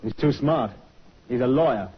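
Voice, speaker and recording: An actor playing a defiant, sullen small-time crook in prison in a 1950s radio drama, giving curt, cocky retorts. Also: male voice, medium pitch, normal speed, husky, close to an everyday voice. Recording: dull vintage radio broadcast.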